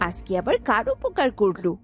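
A woman's voice: medium pitch, sing-song, brisk, fairly bright tone, faint music behind. A woman voicing a greedy, nagging village housewife in a cartoon, scolding and questioning in an exasperated way. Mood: nosy, questioning. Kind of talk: cartoon voice acting.

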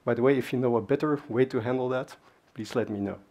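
Husky man giving a talk. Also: mid-range voice, fast, dark tone, dull audio. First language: Dutch. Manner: conversational, many fillers and asides. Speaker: conference speaker. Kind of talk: technical conference talk. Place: large dark conference stage.

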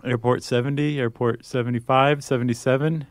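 A man's voice: medium pitch, fairly even intonation, brisk, no echo. American man chatting on a podcast, dry sound. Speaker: podcast co-host. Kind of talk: casual conversational podcast chat.

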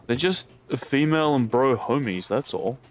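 A man's voice: medium pitch, lively intonation, moderate pace, highs cut off. Crude joking New Zealand man. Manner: crude, teasing, joking, swears a lot. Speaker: gaming YouTuber. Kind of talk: casual co-op game commentary.

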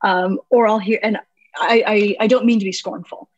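A woman's voice: medium pitch, steady tone, moderate pace, no echo, dull audio. A woman in a mid-range voice hosts an interview, leaning into her questions with eager curiosity and plenty of conversational fillers. Her voice sits close and dry, with almost no room sound. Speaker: podcast host. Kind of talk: casual interview chat. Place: home.

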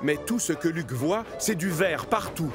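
Guy narrating a kids cartoon, storyteller style, bit high, music under him. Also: lively intonation, measured pace. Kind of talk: scripted children's story narration.

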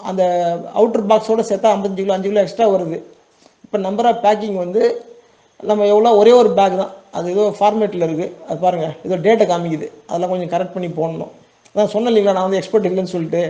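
A man's voice: high-pitched, mellow, highs cut off. A man with a Tamil Nadu accent giving an informal tutorial in a reassuring, plain-spoken way, often checking in with his listeners. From Tamil Nadu, India. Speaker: export business owner giving a tutorial.

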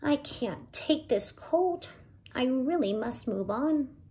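A woman's voice: high-pitched, expressive, takes it slowly, mellow, slightly rough, voice pushed up, heavily acted. A woman voicing a kind, helpful male bird in a storybook, eager and a little timid. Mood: kindly. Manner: eager, obliging, slightly timid.

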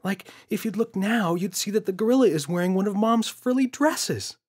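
A man's voice: sits high, normal speed, darkish tone, breathy edge, voice pushed up. A man voicing an indignant, exasperated young boy in a children's story, pleading and insistent.

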